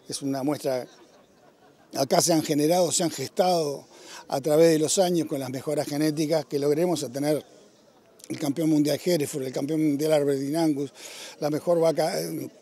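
A man's voice: on the high side, mellow. An older man with a Uruguayan accent answering emphatically in an outdoor interview, repeating words. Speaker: breeders' society president. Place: outdoors at a showground. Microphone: handheld interview microphone.

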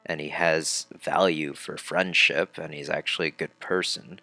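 A man's voice: a bass voice, steady tone, moderate pace, bright, forceful tone. A man doing casual let's play commentary, reading game dialogue aloud and reacting informally with some mild swearing. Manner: informal, reactive, mildly profane. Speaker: let's player. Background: game music.

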